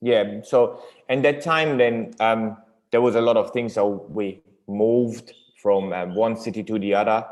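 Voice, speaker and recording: A man, answering interview questions in halting, English and searching for words. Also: fairly low pitch, fairly even intonation, darkish tone. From Iran until age six, then Germany. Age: 30s. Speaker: company owner being interviewed.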